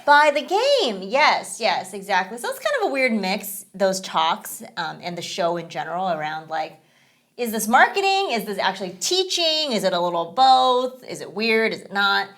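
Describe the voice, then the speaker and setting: A woman's voice: medium pitch, sing-song, normal speed, a bit bright. A woman co-hosting a gaming podcast, chatting casually with a rambling, filler-heavy manner. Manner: chatty, rambling, many fillers like "you know". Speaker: podcast co-host. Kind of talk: casual chat.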